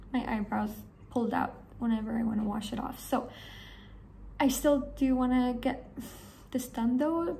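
A young woman with a hoarse voice, chatting enthusiastically to camera in a small room about her beauty products. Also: on the high side, warm tone, a little hiss or hum, rough recording. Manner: chatty, enthusiastic, rambling with fillers. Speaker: beauty vlogger. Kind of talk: casual talk to camera.

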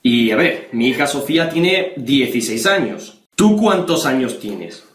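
A man's voice: medium pitch, very animated, measured pace. Young man acting stern Spanish police father, roomy sound. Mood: stern, accusing. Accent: Spain. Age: young adult. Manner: insistent, accusatory, repeating the listener's name. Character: stern, preachy, threatening policeman father of a teenage girl. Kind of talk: prank phone call improvisation. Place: small bedroom, indoors. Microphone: webcam or camera at desk distance. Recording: roomy, echoey bedroom.

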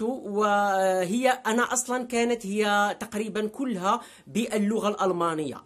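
A man, talking straight to a webcam in his living room, emphatic and repeating words for stress. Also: high-pitched. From Morocco. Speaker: vlogger. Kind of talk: direct-to-camera vlog monologue. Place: living room with a sofa, indoors.

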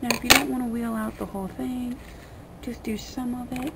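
A woman giving a casual, unscripted how-to in a rambling, colloquial, slightly crude way, with a creaky voice. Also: fairly high pitch, flat delivery, normal speed. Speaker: vlogger giving a how-to demonstration. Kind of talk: casual unscripted hands-on tutorial. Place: small dim room indoors at a table. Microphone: camera mic pointed at hands on table. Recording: noisy homemade camera recording.

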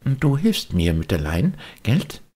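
A man's voice: medium pitch, huge pitch swings, slow pace, a little mellow, slightly rough, pitched above the performer's own voice, heavily acted. A man voicing an eager, naive little wooden puppet boy, exclaiming and pleading in an audiobook. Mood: determined. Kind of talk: audiobook character voice.

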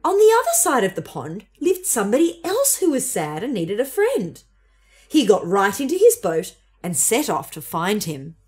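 A woman's voice: medium pitch, huge pitch swings, normal speed, darkish tone. A young woman narrating a children's story expressively as a storybook reader.

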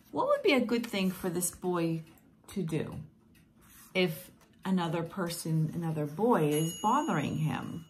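Woman reading kids books on a webcam, first language portuguese, singsong and encouraging, slow and bouncy. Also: lowish voice, slight background noise. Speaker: teacher reading picture books. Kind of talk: reading children's books aloud. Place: home room with plants, indoors. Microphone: webcam at close range.

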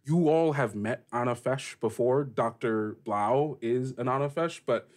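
A man's voice: medium pitch, measured pace. A man hosting and narrating a tabletop podcast as game master, conversational and descriptive.

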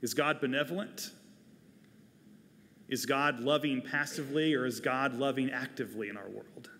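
An American pastor, a man in his forties, delivering a sermon in a measured, deliberate, emphatic way. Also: medium pitch, steady tone, pushed and bright, slight background noise. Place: church sanctuary, at a pulpit. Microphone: lapel microphone. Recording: reverberant large church hall.

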